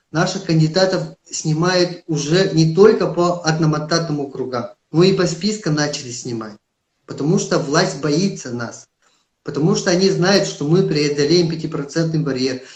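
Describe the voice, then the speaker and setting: Earnest man with a Yakut accent. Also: a little high. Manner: earnest, emphatic, with hesitant fillers. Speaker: political candidate. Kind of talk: live-streamed interview. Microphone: phone on a live stream. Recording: roomy echo.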